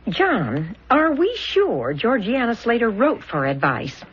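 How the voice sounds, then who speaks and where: A woman's voice: on the high side, huge pitch swings, slow pace, husky, heavily acted. An actress playing a concerned, inquisitive woman, a detective's confidante, in a 1970s radio drama on a dull old recording. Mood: concerned, curious. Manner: inquisitive, earnest.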